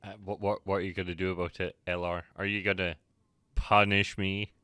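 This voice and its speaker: A man giving casual live-stream game commentary, halting and stammering as he playfully banters with chat. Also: sits low, flat delivery, bright, forceful tone, smooth, no echo.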